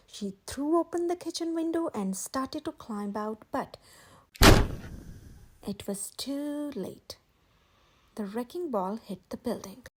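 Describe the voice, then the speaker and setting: A woman reading a children's picture book aloud in a measured, expressive storytelling manner. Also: sits high, heavy background noise. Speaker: children's story channel host and reader. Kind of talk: scripted read-aloud narration of a picture book.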